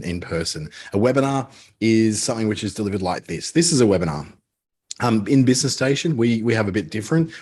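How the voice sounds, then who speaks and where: A man's voice: low-pitched, a little mellow, slightly rough. A man with an Australian accent who clips the ends of his words, teaching a live webinar in a chatty, filler-laden way. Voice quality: clipped word endings. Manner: chatty, with frequent ums and uhs. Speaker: webinar presenter.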